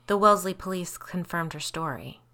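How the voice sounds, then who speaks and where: Narrator doing worried lady friend in a ghost story, firm, keeps pressing, bright voice. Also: on the low side, brisk. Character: firm, concerned female friend questioning the heroine.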